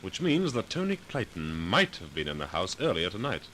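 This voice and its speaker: An actor playing a calm, commanding amateur sleuth with a measured, probing, dryly ironic manner in a 1940s radio mystery. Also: male voice, sits low, heavily acted. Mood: thoughtful. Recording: noisy vintage 1940s radio recording.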